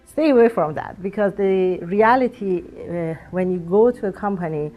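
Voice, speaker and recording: A woman's voice: medium pitch, moderate pace, warm tone, soft background music. A woman in her thirties whose first language is Farsi, answering interview questions earnestly and sometimes searching for words. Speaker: alumna guest.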